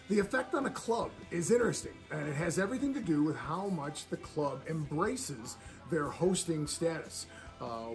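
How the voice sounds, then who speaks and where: A man with a slightly high voice speaks earnestly and encouragingly, like a presenter addressing a club. Faint music plays underneath. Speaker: Rotary youth exchange officer. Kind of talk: presentation talk.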